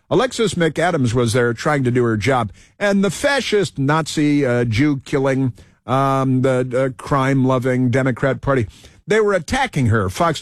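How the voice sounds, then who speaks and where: A man's voice: mid-range voice, dry recording, studio quality. A male host giving a sarcastic, combative commentary monologue, reading items from a list with many fillers.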